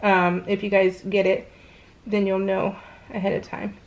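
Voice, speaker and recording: A woman's voice: medium pitch, flat delivery, unhurried, dull audio. A middle-aged woman chatting casually over a craft video, gushing and slangy, telling stories with plenty of exclamations. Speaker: craft vlogger.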